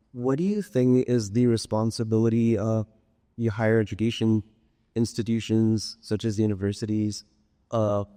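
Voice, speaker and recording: A man's voice: lowish voice, dark tone, clean tone. A man politely and tentatively putting a question to a conference speaker from the audience. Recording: reverberant hall sound.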